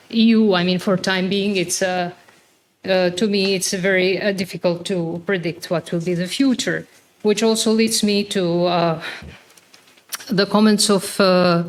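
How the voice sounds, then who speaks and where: A woman with a Serbian accent giving hesitant panel remarks, full of fillers. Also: fairly low pitch. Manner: hesitant, many fillers, careful phrasing. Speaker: book author and panel speaker.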